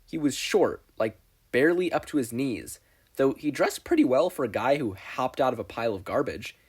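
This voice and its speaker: A man reading fiction aloud as a narrator, with an expressive, scripted storytelling delivery. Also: medium pitch, normal speed, slightly rough. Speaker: audiobook narrator.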